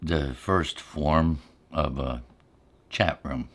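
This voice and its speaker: Very deep-voiced man, unhurried ASMR storyteller. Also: fairly bright tone, slightly rough. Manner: unhurried, halting, reflective recollection. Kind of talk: ASMR casual storytelling while eating.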